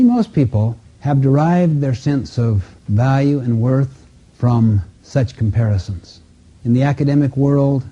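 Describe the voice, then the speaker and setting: A man giving a motivational self-help lecture in an emphatic, deliberate manner, as a lecturer on personal effectiveness. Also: mid-range voice, mellow, breathy edge. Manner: emphatic, deliberate, with rhetorical questions and repeated phrasing. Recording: muffled, dull lo-fi audio.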